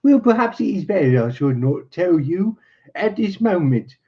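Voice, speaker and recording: A man's voice: sits high, big pitch swings, normal speed, pitched above the performer's own voice. A man voicing a secretive, insistent German colonel in his late thirties from a storybook, speaking formally and guardedly. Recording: dull, muffled lo-fi recording.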